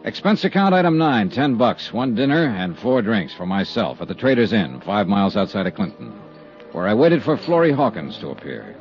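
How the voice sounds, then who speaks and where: An American actor playing a tough, steady insurance investigator in a 1950s radio drama, with clipped, hard-boiled delivery. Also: male voice, fairly low pitch, moderate pace, faint music behind. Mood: brisk, businesslike. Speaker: lead actor and narrator. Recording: dull vintage 1950s radio recording.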